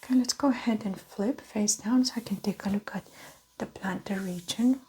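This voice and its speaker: A woman whispering softly in an ASMR roleplay as a doctor, giving gentle, methodical clinical instructions and observations. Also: mid-range voice, normal speed. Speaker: ASMR performer playing a doctor. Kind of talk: soft-spoken whispered ASMR medical roleplay.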